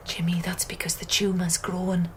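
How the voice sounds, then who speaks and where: A woman's voice: fairly low pitch, little pitch movement, moderate pace, a little hiss or hum, natural voice. A woman playing a weary, overwrought working-class mother in a radio drama, pleading and tender, with a North East English accent. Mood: hushed, grave. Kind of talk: naturalistic 1990s radio drama acting.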